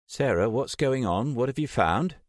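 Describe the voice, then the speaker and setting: A man voicing an indignant young woman who shouts in outrage to protect ancient relics. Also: mid-range voice, expressive, moderate pace, no echo, clean studio sound, pitched above the performer's own voice, lightly acted. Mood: alarmed.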